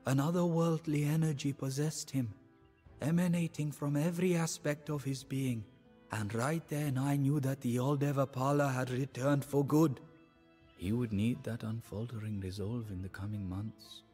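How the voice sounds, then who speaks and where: An actor playing a sorrowful, devout adviser to an Indian emperor, narrating the story in a solemn, measured, theatrical way for a game. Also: male voice, medium pitch, steady tone, warm tone, husky, soft background music, close to an everyday voice. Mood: stirring, resolute. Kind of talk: dramatic scripted storytelling narration.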